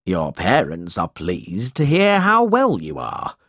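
A man voicing a cruel, boastful male schoolmaster in an audiobook story, speaking in a loud, blustering, bullying way. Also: low-pitched, huge pitch swings, slow pace, no room sound, clean studio sound, pitched below the performer's own voice, heavily acted. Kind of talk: audiobook character reading.

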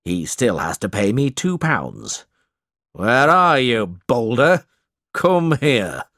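A man voicing a cruel, boastful male schoolmaster in an audiobook story, speaking in a loud, blustering, bullying way. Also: mid-range voice, wildly swooping pitch, slow pace, no echo, studio quality, exaggerated voice. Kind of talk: audiobook character reading.

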